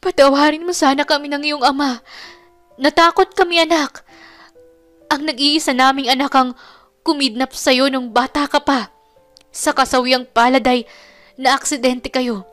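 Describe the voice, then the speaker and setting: A high-voiced, sorrowful older mother from an audio storybook, acted out by a female narrator. She pleads and apologizes with a tearful, confessing manner, over background music. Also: fairly even intonation, moderate pace, long pauses, a bit bright. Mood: tearful pleading.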